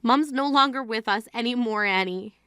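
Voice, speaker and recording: A woman's voice: on the high side, normal speed, sharp tone, clean tone, dry recording, studio quality. A woman playing a grieving sister who scolds and argues in disbelief, in a voice-acted drama. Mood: frustrated. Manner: scolding, incredulous. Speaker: sister at a funeral.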